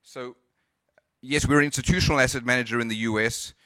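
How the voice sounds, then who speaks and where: A man's voice: medium pitch, steady tone, fast talker, pushed and bright, no echo, studio quality. A man whose accent mixes Cape Town and California, giving a conference talk with frequent hesitations and restarts. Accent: South African and Californian mix. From South Africa. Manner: hesitant, many fillers and restarts. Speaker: conference speaker. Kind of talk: conference presentation.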